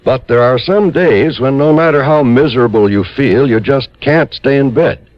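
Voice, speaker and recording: A man's voice: medium pitch, moderate pace, no room sound. A man announcing on 1950s radio, reading scripted advertising and credits into a close studio microphone. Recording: muffled old radio broadcast, faint music.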